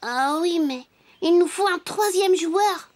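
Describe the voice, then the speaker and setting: Friendly small-child playmate, tiny very high voice, exclamatory and cheerful, children's cartoon, music bed. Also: female voice, expressive, slow pace, background noise, full character voice. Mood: cheerful, inviting. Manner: eager, encouraging, exclamatory. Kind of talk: children's animated cartoon dubbing. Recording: music playing behind the voice.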